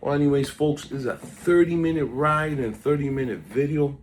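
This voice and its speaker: A man's voice: on the high side, normal speed, a little hiss or hum. A man hosting a casual stock market video, chatty and drifting off topic while reading out stock moves, recorded on a phone. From South Florida. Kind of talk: casual rambling market commentary.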